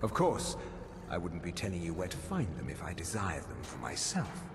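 An actor playing a scheming, courtly vampire in a fantasy game, speaking in a formal, measured, insinuating way. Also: male voice, deep voice, wildly swooping pitch, gravelly, exaggerated voice. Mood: smooth, persuasive. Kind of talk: fantasy video game character acting. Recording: long reverb, game music behind.

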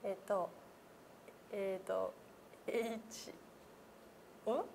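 A woman's voice: medium pitch, steady tone, extremely slow, creaky, darkish tone, slight background noise. A woman in her thirties taking a casual pronunciation lesson, carefully and tentatively repeating sounds in a meeting room. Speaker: radio personality trying a pronunciation lesson as learner. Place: small meeting room, indoors.

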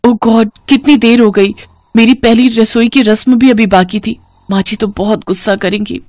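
A woman's voice: fairly high pitch, steady tone, moderate pace, darkish tone, no room sound, lightly acted. Woman voicing a plaintive young bride. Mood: worried. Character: timid, emotional young bride longing for affection.

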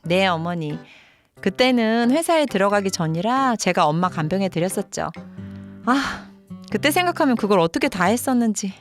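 A woman's voice: medium pitch, expressive, normal speed, natural voice. A woman playing a practical working wife and daughter-in-law, pointed and matter-of-fact, in a dramatized story video. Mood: polite. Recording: music behind the voice.

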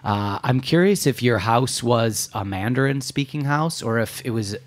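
A man's voice: mid-range voice, expressive, moderate pace. A man hosting a food podcast, asking curious, rambling questions with restarts. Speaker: podcast host. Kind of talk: interview questions.

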